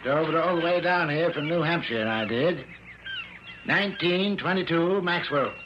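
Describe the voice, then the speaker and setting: An actor playing a folksy, humble old American fishing guide captain in a 1960s radio drama. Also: male voice, fairly high pitch, lively intonation, moderate pace, close to an everyday voice. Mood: folksy, proud. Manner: folksy, rustic turns of phrase. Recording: muffled, noisy vintage radio recording.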